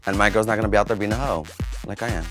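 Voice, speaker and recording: A man's voice: fairly low pitch, rapid-fire, over loud music. Brash, crude Mexican man.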